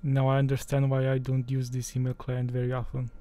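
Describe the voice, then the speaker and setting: Man, native Romanian speaker, screen tutorial, thinking aloud, mildly exasperated, flat monotone, very dark mellow tone. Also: medium pitch, a little fast. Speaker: tutorial maker. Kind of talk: screen-recorded software tutorial.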